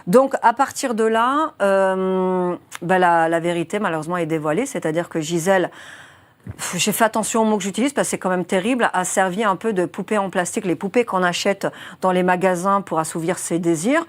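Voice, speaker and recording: A woman's voice: lowish voice, brisk. A woman in her twenties, a journalist being interviewed, who explains a criminal case earnestly, chooses her words with care and uses many fillers. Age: 20s. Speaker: journalist guest.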